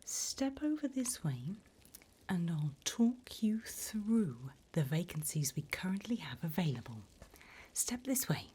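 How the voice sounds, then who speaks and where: A woman voicing a politely bureaucratic, wickedly encouraging demon recruiter in a breathy, unhurried ASMR whisper. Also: fairly low pitch, sing-song, dark tone, a little hiss or hum. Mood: coaxing, inviting. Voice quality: breathy whisper, mostly unvoiced. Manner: unhurried, polite, dryly businesslike about torment.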